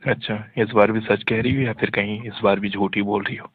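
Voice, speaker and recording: A man's voice: fairly low pitch, steady tone, brisk, breathy edge, dry recording. A man talking casually and privately with someone on a phone call. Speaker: call recipient in a phone conversation. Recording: dry, narrow tinny phone line.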